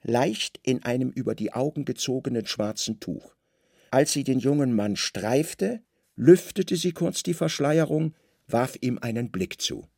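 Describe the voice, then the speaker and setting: A male storyteller for a radio play with a gravelly, creaky edge to his voice. He narrates literary prose deliberately, in short dramatic phrases, recorded very dry and close. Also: medium pitch, expressive, a little mellow, no room sound.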